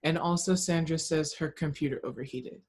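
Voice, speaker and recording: A woman's voice: deep voice, steady tone, a little fast, a little mellow. A woman taking part in a book discussion, speaking in a casual, reflective way and relaying comments.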